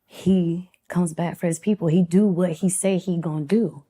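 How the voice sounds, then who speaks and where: A woman's voice: on the low side, steady tone, normal speed, dark tone. A woman in her thirties speaking as an interview guest at a church, giving earnest, devout personal testimony in a conversational way. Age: 30s.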